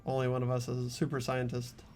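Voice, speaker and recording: A man's voice: medium pitch, completely flat delivery, fast talker, darkish tone, soft background music. A man reading expressively as amateur narrator and protagonist of a video game, mixed with casual chat.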